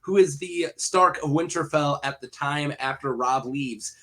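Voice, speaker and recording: A man's voice: fairly high pitch, unhurried, sharp tone. A man with a head cold talking casually about fantasy lore, rambling with many fillers and restarts. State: head cold, occasional coughing. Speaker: lore video host. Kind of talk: casual lore deep dive.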